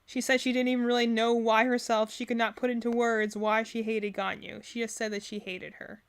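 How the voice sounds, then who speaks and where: Woman streamer doing casual reactions, kinda high voice, rambly, thinks out loud, has opinions. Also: little pitch movement, fairly quick, clean tone. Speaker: streamer reacting to game character trailers.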